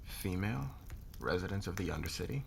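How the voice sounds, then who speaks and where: A smug, dominant corporate boss acted by a man speaks low and gravelly in an intimate ASMR roleplay. His delivery is deliberate and probing, with a threatening edge. Faint noise sits behind the voice. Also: expressive, heavily acted. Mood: controlled menace. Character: dominant, demanding male corporate boss, smug and calculating interrogator.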